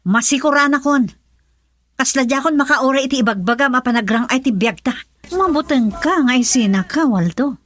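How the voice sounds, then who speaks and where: A woman's voice: high voice, brisk, a bit bright, dry recording. An actress playing a discontented young wife who nags and complains at her poor husband in an Ilocano radio drama. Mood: threatening, fed up. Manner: complaining, exasperated.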